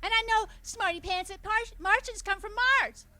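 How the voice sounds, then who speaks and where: A woman's voice: extremely high pitch, fairly even intonation, moderate pace, sharp tone. A woman voicing a bratty little girl in a radio drama, whiny and childish.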